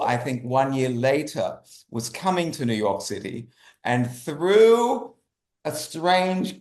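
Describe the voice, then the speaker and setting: A man's voice: mid-range voice, darkish tone. An Australian man answering interview questions as a guest, speaking emphatically and repeating phrases for effect. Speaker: guest, writer and animator. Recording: roomy, echoey sound.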